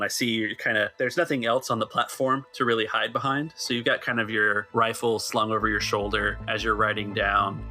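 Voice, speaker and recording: Bright, low-voiced male game master. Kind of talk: casual narration of a tabletop game.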